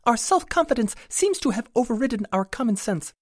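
A woman voicing a tiny, perky female winged shopkeeper who speaks with a high, squeaky voice, stammers when flustered and uses ornate words. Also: very animated, fairly quick, dry recording, clean studio sound.

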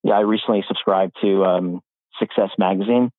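A man hosting a podcast, chatting in a relaxed way with many "like" fillers. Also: deep voice, moderate pace, no room sound. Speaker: podcast host. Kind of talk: casual interview chat. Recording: tinny phone-like line.

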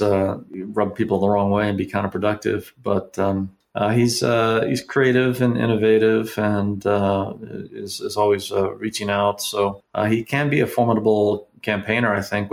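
A man giving political analysis in conversation, speaking in a measured, halting way with formal vocabulary. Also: fairly low pitch, creaky. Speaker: political analyst, interview guest.

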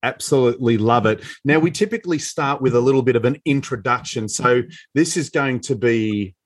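A man's voice: mid-range voice, a little fast. Enthusiastic male podcast host. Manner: enthusiastic, blunt, casual swearing. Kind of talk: podcast interview.

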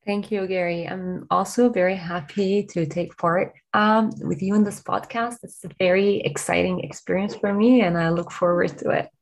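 A woman's voice: medium pitch, fairly even intonation, moderate pace, hardly any pauses, poor audio. A woman from Lebanon, a guest on an interview podcast over a remote call, answering earnestly and enthusiastically with frequent restarts.

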